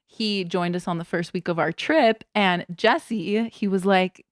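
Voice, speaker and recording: A woman guest on a podcast, telling a travel story in a casual, animated way with lots of filler words. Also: mid-range voice, a little slow, no room sound, studio quality. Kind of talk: casual chat.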